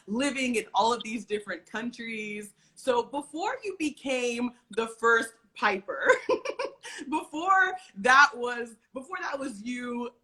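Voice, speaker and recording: Brief: upbeat woman host, chatty, very bright tone. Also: on the high side. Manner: upbeat, chatty, many "like" fillers. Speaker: interview host. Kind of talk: casual chat.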